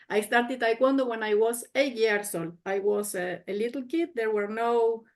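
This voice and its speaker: A woman's voice: on the high side, a little slow. A woman in her fifties from Argentina, introducing herself on a video call as a Taekwondo master in a friendly way. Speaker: Taekwondo master and committee member. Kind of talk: personal introduction at a meeting.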